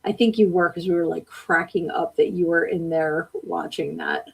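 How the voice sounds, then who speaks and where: A woman with a husky, slightly low voice chats casually on a live stream. She reads viewer comments aloud and answers them, laughing often. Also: steady tone, normal speed, poor audio. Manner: chatty, laughs often, talks back to the chat. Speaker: podcast host reading live comments.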